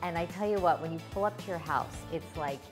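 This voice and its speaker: A woman's voice: lowish voice, fast talker, faint music behind. A woman hosting a cooking show, chatting with her guest, enthusiastic with playful reactions. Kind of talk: casual interview chat.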